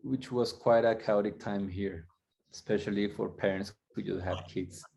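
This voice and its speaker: A man from Colombia, a guest coach, telling his story candidly and reflectively, halting and restarting as he goes. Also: fairly low pitch, steady tone, creaky, darkish tone, slight background noise.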